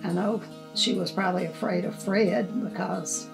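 An older woman in a documentary interview, reflecting slowly and gently on family memories. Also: mid-range voice, steady tone, creaky, music in the background, rough recording. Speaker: interviewee, mother-in-law. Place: indoors in leather armchair.

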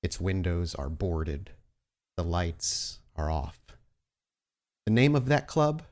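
A man's voice: deep voice, big pitch swings, slow pace, darkish tone. A man narrating a tabletop roleplaying game as storyteller, setting scenes in descriptive detail.

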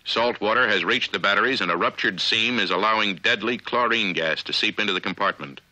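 An American man narrating a scripted 1950s military training film in a measured, authoritative, instructional way, on a vintage film soundtrack. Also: on the low side, sharp tone, rough recording.

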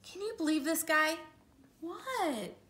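A woman's voice: very high-pitched, expressive, takes it slowly, bright, forceful tone, slight background noise, pitched above the performer's own voice, heavily acted. A woman voicing a possessive, easily exasperated pigeon from a children's picture book, with dramatic, indignant delivery. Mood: outraged.

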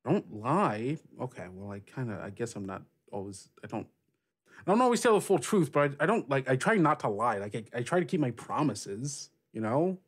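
A man voicing a frank, rough-edged female pirate captain in a casual, halting, sweary way for a tabletop game. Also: medium pitch, big pitch swings. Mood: baffled, indignant.

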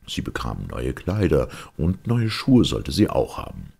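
Very deep, gravelly male storyteller. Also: wildly swooping pitch, unhurried, warm tone. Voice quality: gravelly, husky. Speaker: audiobook narrator. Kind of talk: expressive fairy tale storytelling.

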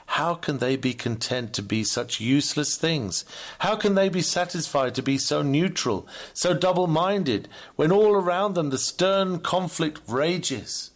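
A man reading an old Victorian sermon aloud in an earnest, measured, oratorical manner. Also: a little high, lively intonation. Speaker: sermon reader.

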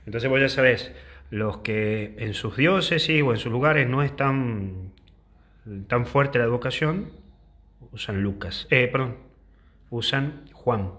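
A man's voice: on the low side, a bit bright, breathy edge. A man in his thirties with an Argentine accent, a priest explaining church teaching in a chatty, joking way to a phone held at arm's length. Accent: Argentine Spanish. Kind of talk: casual catechesis to camera. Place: outdoor courtyard, then indoors.

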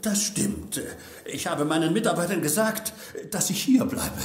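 Flustered, high-voiced eccentric scientist. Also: male voice, animated, measured pace, reverberant, a little hiss or hum. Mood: flustered, chatty. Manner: hesitant, rambling, formally polite. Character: eccentric, devoted male scientist, easily sidetracked. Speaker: voice actor in an audio drama. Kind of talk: German audio drama acting.

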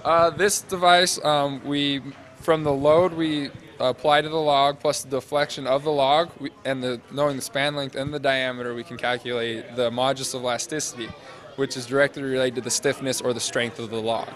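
A man in his twenties, a student interviewee, explaining a project off the cuff in casual, halting phrases into a handheld mic. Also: mid-range voice, level tone, a bit bright. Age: 20s. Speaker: interviewee, senior engineering student presenting a project. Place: large glass-walled university hall or lobby. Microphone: handheld interview microphone held out to him.

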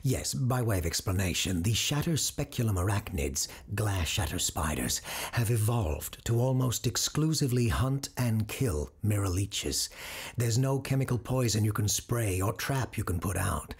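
An actor playing a clinical, self-assured radio host doctor who deals with the supernatural, in an audio drama. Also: male voice, on the low side, expressive, moderate pace, slightly rough, full character voice. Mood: explaining. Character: cold calculating male radio host doctor, supernatural expert.

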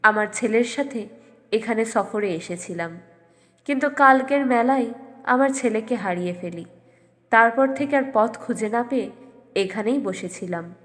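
A woman's voice: fairly high pitch, animated, normal speed, pauses often, fairly bright tone, in a large hall. A woman voicing a weary, humble old grandmother in a cartoon fairytale, subdued and plaintive. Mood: subdued, sad.

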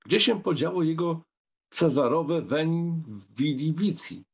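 Husky, expressive male audiobook narrator. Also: on the high side, unhurried.

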